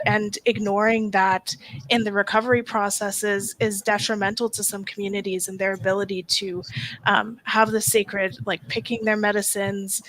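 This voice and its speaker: A woman's voice: medium pitch, a bit bright, slight background noise, poor audio. A woman on a discussion panel, explaining in a casual way with lots of fillers.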